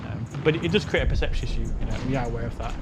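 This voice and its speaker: A man casually explaining technical details as a game developer, in a halting, filler-heavy way. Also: music in the background. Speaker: game developer answering questions. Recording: loud vehicle rumble and game noise behind.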